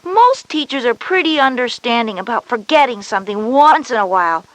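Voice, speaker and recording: A woman's voice: a little high, sing-song, fairly quick, dry recording, poor audio. A woman playing a knowing, reassuring older sister of school age in a children's educational audio drama.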